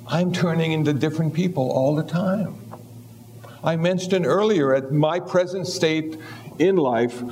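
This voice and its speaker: A man's voice: a little high, mellow, slight background noise. Wry seventy-something man teaching Buddhism. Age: 70s. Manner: easygoing, wry, acts out little voices. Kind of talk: humorous dharma talk.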